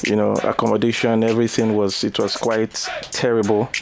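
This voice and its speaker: A man's voice: lowish voice, little pitch movement, brisk, creaky, slight background noise. A young man with a Nigerian accent, a singer speaking as a guest in a radio studio interview, reflective and a little hesitant as he talks.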